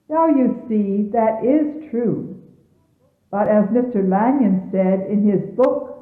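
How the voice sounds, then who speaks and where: Female reader for solemn religious text: unhurried, formal and reverent, on a thin, band-limited vintage tape. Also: medium pitch. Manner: deliberate, reverent, formal phrasing. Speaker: religious leader reading scripture aloud. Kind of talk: solemn scripted reading of sermons. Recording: muffled, echoey 1970s phone-like tape.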